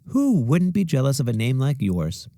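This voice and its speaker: A man's voice: mid-range voice, big pitch swings, moderate pace, vocal fry, mellow, dry recording, clean studio sound, voice pushed up. A man reading a storybook as a doting mother who soothes and brushes worries aside. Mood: reassuring. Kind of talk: children's storybook read-aloud.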